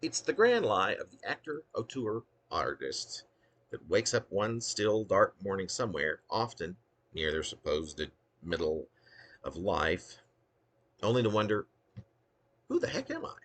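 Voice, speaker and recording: Deep, husky man with theatrical swoops. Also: huge pitch swings, unhurried, fairly bright tone. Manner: theatrical, wry, with emphatic swoops. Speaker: poet reading own work. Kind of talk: dramatic reading of a humorous autobiographical poem.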